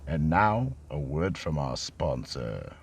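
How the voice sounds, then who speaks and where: Loud noisy recording, a man as an ominous horror radio narrator, extremely deep, slow and deliberate. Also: big pitch swings, vocal fry, heavily acted. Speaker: narrator and announcer.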